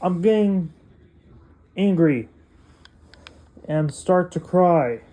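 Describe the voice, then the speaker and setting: A man talking casually through an unboxing demonstration in halting short phrases with non-standard grammar. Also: sits high, lively intonation, dark tone. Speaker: unboxing video creator.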